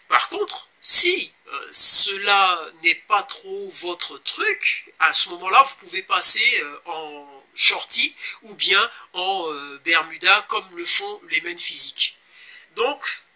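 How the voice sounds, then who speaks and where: A man in his forties from Congo, explaining a fitness challenge in a casual vlog, pausing often with fillers and urging viewers to join. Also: high-pitched, sharp tone. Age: 40s. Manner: hesitant, many pauses and fillers, urging viewers. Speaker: fitness vlogger.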